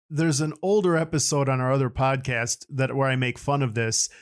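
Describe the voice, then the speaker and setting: A man's voice: mid-range voice, fairly even intonation, normal speed, clear, resonant tone, no room sound. A man hosting a podcast in a casual, chatty way with joking banter. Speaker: podcast host.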